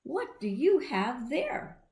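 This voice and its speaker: A woman's voice: high voice, big pitch swings, slow pace, darkish tone, pitched above the performer's own voice, exaggerated voice. A woman voicing a questioning, matter-of-fact mother in a children's storybook. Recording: echoey room.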